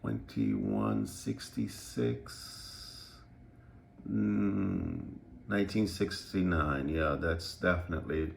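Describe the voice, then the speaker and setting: A man giving a casual, unscripted show-and-tell as a hobbyist, hesitant and halting as he thinks aloud. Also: extremely low pitch, lively intonation, gravelly, slight background noise. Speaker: radio hobbyist presenting a project.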